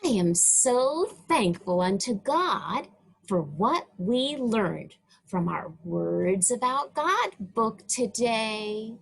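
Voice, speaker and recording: Very slow, swooping woman's storybook reading. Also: a little high, big pitch swings, vocal fry, breathy edge. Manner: deliberate, emphatic phrasing with pauses. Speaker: children's story reader. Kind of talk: slow, expressive read-aloud of a religious children's book.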